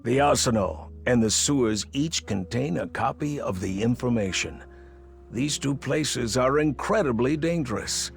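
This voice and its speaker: A shrewd rat gang boss from a video game, grave and calculating. He speaks very slowly with a gravelly creak, over faint music. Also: medium pitch, animated. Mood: persuasive. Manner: measured, calculating.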